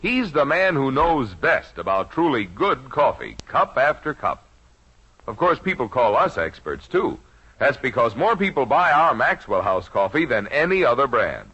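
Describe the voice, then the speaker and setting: Animated American radio pitchman, muffled sound. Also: moderate pace, breathy edge. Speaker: commercial announcer. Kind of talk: 1950s radio ad read, smooth-talking pitch. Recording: muffled old radio recording.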